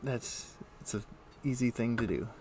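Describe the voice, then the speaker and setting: A man's voice: mid-range voice, moderate pace, warm tone, faint music behind. A man hosting a casual gaming livestream, rambling and thinking aloud with mild, old-fashioned turns of phrase. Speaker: livestream host. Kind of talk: casual gaming stream chat.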